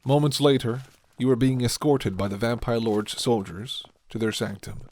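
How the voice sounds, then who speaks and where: A man's voice: medium pitch, fairly even intonation, moderate pace, a little mellow, a little hiss or hum. A man reading scripted second-person narration for an ASMR roleplay story. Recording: music behind the voice.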